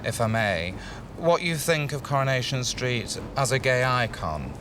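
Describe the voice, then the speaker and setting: A man's voice: medium pitch, lively intonation, a little slow, noisy background. A man presenting outdoors as a drag presenter, arch, theatrical and flirtatious. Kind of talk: camp on-the-street presenting. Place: outdoors on a street.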